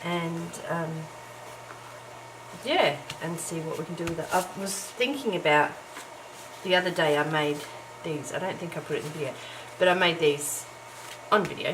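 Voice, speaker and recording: A middle-aged woman with a low, croaky just-woken voice, chatting as she works on a craft project. She talks casually and self-deprecatingly, scattering ums and soft laughs. It is recorded on a camera's own mic at home, with clear background hiss. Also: normal speed. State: croaky morning voice. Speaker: crafting vlogger. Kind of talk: casual chat while crafting. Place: home, early morning. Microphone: camera's built-in mic.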